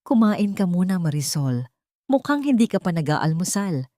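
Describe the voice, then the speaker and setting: A protective male lawyer from a dramatic storybook, voiced by a woman. He speaks calmly and firmly to reassure, in a dry, close recording. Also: on the low side, normal speed, dry recording. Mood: gentle, reassuring. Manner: calm, firm, reassuring. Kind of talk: storybook dialogue.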